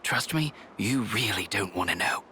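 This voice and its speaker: A man with a British accent voicing a cynical, gossipy, complaining low-ranking soldier in a video game. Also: animated, moderate pace, sharp tone, a little hiss or hum. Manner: colloquial, complaining. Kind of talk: scripted video game voice acting.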